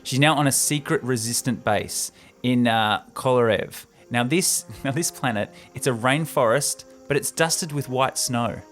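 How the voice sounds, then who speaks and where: A man talks through a film story like an excited friend. His voice sits at an ordinary male pitch, informal and easily amused, with faint music behind him. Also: unhurried, few pauses. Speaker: podcast co-host and narrator. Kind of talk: casual recap of a film script with banter.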